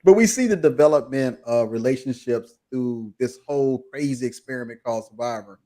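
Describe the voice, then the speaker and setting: A man hosting a casual podcast chat, animated and quick to laugh as he leads the discussion. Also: medium pitch. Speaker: podcast host.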